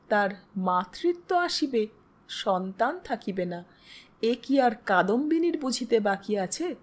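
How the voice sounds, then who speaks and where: A woman reading a literary story aloud as a scripted narration. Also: a little high.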